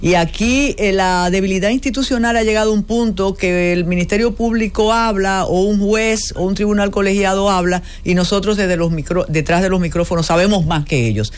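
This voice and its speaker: A woman with a Dominican accent, a lawyer on a political talk panel, cutting in assertively and slowing down deliberately to press her points. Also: mid-range voice. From Puerto Plata, Dominican Republic. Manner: assertive, cuts in, slow and deliberate when stressing points. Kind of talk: political debate chat.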